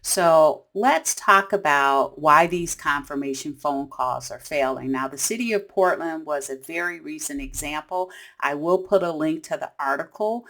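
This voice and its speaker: A woman's voice: deep voice, a little slow, few pauses, a bit bright. A woman hosting an instructional explainer in a conversational, explanatory manner. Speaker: host of a tips series. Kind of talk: instructional explainer for business teams.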